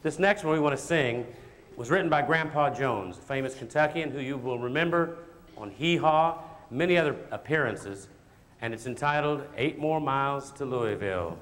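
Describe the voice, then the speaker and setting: A man's voice: medium pitch, normal speed, fairly bright tone. A man hosting a stage show, introducing songs to an audience as an announcer. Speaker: host of a school stage radio show. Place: large indoor hall, live performance. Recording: noisy recording in a reverberant hall.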